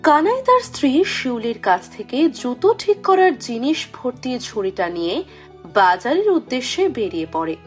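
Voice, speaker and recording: A woman's voice: fairly high pitch, very animated, moderate pace, faint music behind. A woman narrator reading a scripted children's fairy tale.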